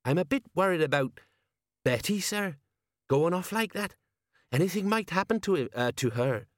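A man acts out a bumbling, earnest police sergeant from a storybook. He drops his letters and talks in a chummy, ingratiating way, with the pitch pushed up and a dry, close sound. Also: expressive, normal speed, a bit bright, no echo. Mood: worried. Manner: colloquial, dropped letters, ingratiating.

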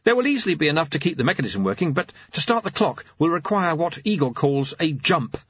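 A man's voice: a little high, sing-song, quick pace, fairly bright tone, husky, dry recording, lo-fi sound, voice pushed up, exaggerated voice. A man voicing a diffident, earnest young clockmaker, anxious and hesitant in his speech, in an audiobook. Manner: hesitant, stammering.

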